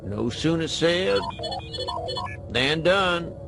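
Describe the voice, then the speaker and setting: A dead hacker living on as a recorded mind, creaky and gruff. He talks like a folksy old mentor, wry and sparing with words. Music plays clearly under this radio drama voice. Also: a little high, expressive, drawn-out words, exaggerated voice. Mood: jaunty, drawling. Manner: folksy, laconic, calling the listener boy. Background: loud music.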